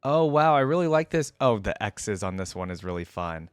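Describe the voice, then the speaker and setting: A man's voice: fairly low pitch, big pitch swings, normal speed, smooth, no room sound, studio quality. A man hosting a reaction video in a casual, enthusiastic, informal way, commenting and reading aloud.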